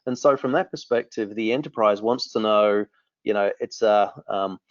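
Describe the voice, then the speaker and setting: A man's voice: fairly low pitch, normal speed, no room sound. A man in his forties with a New Zealand accent, answering interview questions with enthusiasm and lots of restarts. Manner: enthusiastic, rambling with frequent restarts. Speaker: company founder. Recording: dry, muffled lo-fi audio.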